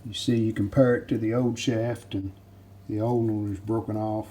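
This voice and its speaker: An older man giving a hands-on repair walkthrough in plain-spoken, halting phrases. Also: lowish voice, level tone, warm tone, slight background noise.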